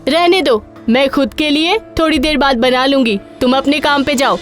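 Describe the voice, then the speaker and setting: A bossy cartoon wife, played by a woman, with a high female voice and a sarcastic tongue. She nags pointedly, over faint music. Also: moderate pace, natural voice. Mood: curt, annoyed. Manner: nagging, pointed. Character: bossy, sarcastic middle-class housewife. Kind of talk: animated cartoon dialogue.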